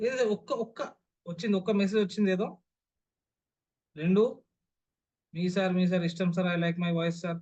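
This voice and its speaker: A man's voice: sits high, fairly even intonation, normal speed, long pauses, darkish tone, highs cut off. A man leading an online voice modulation class over a video call, who keeps putting questions to his listeners and repeating them. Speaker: voice modulation trainer. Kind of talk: interactive online class talk.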